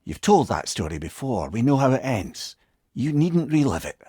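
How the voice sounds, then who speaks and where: A man's voice: medium pitch, very animated, moderate pace, husky, no room sound, clean studio sound. A man voicing a wise, wry old advisor in a video game, with a Scottish accent and an eloquent, thoughtful way of talking. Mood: gentle, concerned. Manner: eloquent, thoughtful, gently probing. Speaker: voice actor. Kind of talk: video game companion dialogue.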